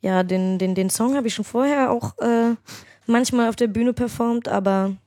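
A woman's voice: fairly high pitch, moderate pace, dark tone, no room sound, clean studio sound. A woman guest on a podcast, talking casually and hesitantly with many fillers. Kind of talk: casual interview chat.

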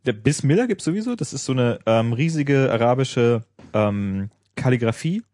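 A man talks at length on a casual podcast, explaining and filling pauses with ums. The sound is dry and close. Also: mid-range voice, lively intonation, darkish tone, no echo. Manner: chatty, explaining with many fillers. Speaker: podcast co-host. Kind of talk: casual tech chat.

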